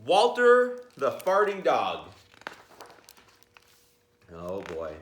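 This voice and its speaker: A man's voice: medium pitch, wildly swooping pitch, extremely slow, slight background noise. A man reading a children's picture book aloud, playfully talking to his listeners with chatty asides. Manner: playful, addresses listeners directly. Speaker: read-aloud storyteller. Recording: roomy, echoey sound.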